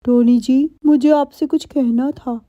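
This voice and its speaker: A woman's voice: high-pitched, steady tone, unhurried, mellow, no echo. A woman playing a scheming, selfish, sweet-talking husband crow in a cartoon, wheedling and dramatic, on a muffled recording. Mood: wooing.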